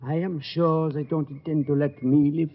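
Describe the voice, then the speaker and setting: An actor playing a gentle, dignified old uncle, speaking earnestly and formally in a radio drama. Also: male voice, fairly high pitch, level tone, normal speed, lightly acted. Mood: resigned, wistful. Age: older. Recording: muffled old radio recording, long reverb.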